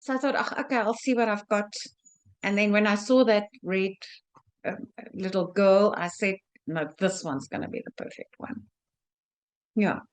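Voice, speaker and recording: A South African woman being interviewed about her quilt, speaking haltingly with many fillers and restarts. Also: medium pitch, fairly even intonation. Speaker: guest quilter. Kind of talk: video call interview.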